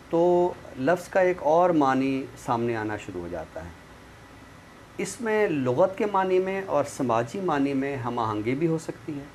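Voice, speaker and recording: Man explaining over background noise. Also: a little high. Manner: didactic, checks understanding with tag phrases. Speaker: literary expert guest. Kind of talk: explanatory conversational discussion. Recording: clearly noisy room recording.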